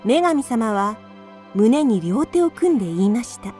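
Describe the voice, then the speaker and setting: A woman narrating a children's radio drama in a calm, even storytelling manner. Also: fairly high pitch, a little slow, soft background music.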